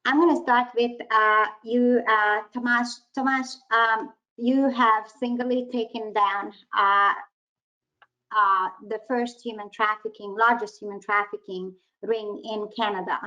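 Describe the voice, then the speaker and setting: A woman's voice: fairly high pitch, steady tone, unhurried, bright, forceful tone. A woman hosting a webinar over a video call, politely introducing guests and thanking them. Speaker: webinar host. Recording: dull lo-fi video call audio.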